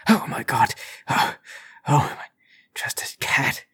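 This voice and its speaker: A man voicing a nervous burglar in a tabletop game, halting and stammering as he bluffs and over-explains. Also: mid-range voice, close to an everyday voice. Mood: startled.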